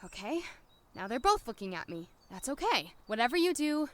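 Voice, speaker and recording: Actress as nervy young woman doctor, high bright voice, wry, self-talking, audio drama. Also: sing-song, moderate pace. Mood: hushed urgency. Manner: flustered, sarcastic, talks to herself. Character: anxious, wry young woman doctor, new lab worker in town. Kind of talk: audio drama acting with inner monologue.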